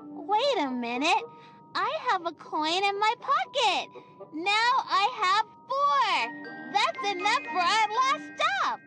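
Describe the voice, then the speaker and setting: A young cartoon girl hosts a children's show in a video game with music behind her. Her very high voice swoops in a singsong way as she addresses young viewers, cheerful but wistful. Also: big pitch swings, slow, bright, forceful tone, full character voice. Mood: excited.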